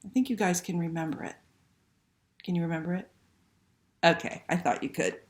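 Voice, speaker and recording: A woman's voice: lowish voice, brisk, darkish tone. A woman hosting a library story time, reading aloud and talking to young children with simple questions and prompts.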